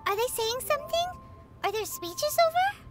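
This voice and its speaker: A woman voicing a small, chatty, curious fairy-like girl companion in a game, excitable and quick to gasp and wonder aloud. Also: very high voice, music in the background. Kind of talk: game dialogue. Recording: noisy game audio capture.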